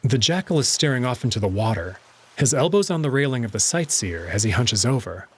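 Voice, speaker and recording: A man narrating and commenting on a visual novel in an expressive storytelling style. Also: medium pitch, brisk, husky. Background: music under the voice.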